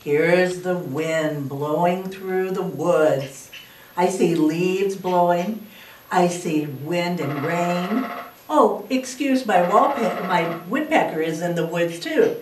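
An older woman reading at a children's library storytime in a slow, animated, sing-song way. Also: lowish voice, a little hiss or hum. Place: library room with wood paneling. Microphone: far camera. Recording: echoey room from a distance.

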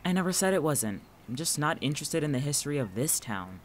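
A man's voice: a little high, big pitch swings, quick pace, a little hiss or hum. A man playing a guarded, watchful friend in an audio drama, speaking conversationally with dry, exasperated sarcasm. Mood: curious, unimpressed. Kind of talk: naturalistic audio drama dialogue.

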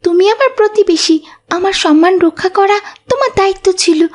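Very high-voiced, humble little schoolgirl. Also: normal speed. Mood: hurt, dignified. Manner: earnest, polite. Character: humble, kind, devout poor schoolgirl. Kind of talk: Islamic moral cartoon dialogue.

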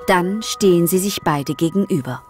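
A woman's voice: on the low side, normal speed, loud music behind the voice. A woman narrating a scripted children's audio drama as a storyteller.

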